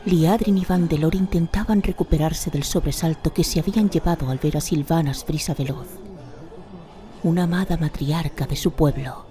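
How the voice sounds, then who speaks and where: A woman's voice: low-pitched, mellow. A woman narrating a fantasy radio drama in a dramatic, scripted storytelling style. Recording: music behind the narration.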